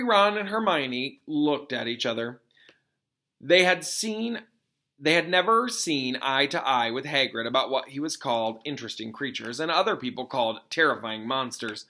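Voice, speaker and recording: A man reading a storybook aloud as a teacher, pausing to explain things simply and casually. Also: on the high side, pushed and bright. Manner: explains simply to young listeners.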